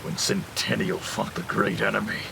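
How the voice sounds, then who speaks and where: Man playing a very gravelly, deep celestial elder. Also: flat delivery, moderate pace, background noise. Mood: pained, solemn. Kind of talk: fantasy audio drama acting.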